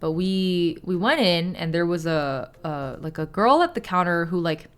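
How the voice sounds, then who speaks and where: A woman's voice: on the low side, animated, moderate pace, clear, resonant tone. A woman, a Shanghainese speaker, chatting casually while she crafts on stream. She explains things at length, with lots of "like" and some casual swearing.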